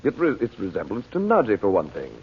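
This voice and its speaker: An actor playing a calm, firm magician in an old radio drama, reassuring his frightened sister. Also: fairly low pitch, fast, a little hiss or hum. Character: steady protective brother, a magician. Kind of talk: 1940s radio acting. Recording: tinny old radio transcription.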